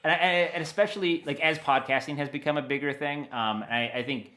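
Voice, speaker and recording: An American man talking casually and enthusiastically as an interview guest, animated and given to rambling asides. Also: on the high side, a little fast. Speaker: interview guest, audio dramatist.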